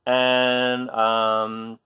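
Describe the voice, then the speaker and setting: A man reading a story aloud to young children, expressively, pausing to ask questions and explain things simply. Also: medium pitch, monotone, clear, resonant tone, phone-quality sound.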